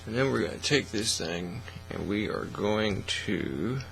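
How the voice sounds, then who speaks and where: A middle-aged man giving a casual, folksy, unhurried how-to demonstration at an indoor hobby bench. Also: lowish voice, lively intonation, creaky. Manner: folksy, unhurried step-by-step talk. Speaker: hobby craft tutorial host. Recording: faint mains hum and noise.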